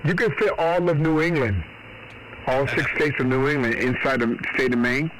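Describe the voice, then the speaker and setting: A middle-aged man rambles in a folksy, slightly salty way, as if chatting with a fellow radio hobbyist. His pitch is average for a man. A faint layer of radio noise sits behind the voice. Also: moderate pace. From Boston. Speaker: amateur radio operator. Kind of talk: casual radio chat.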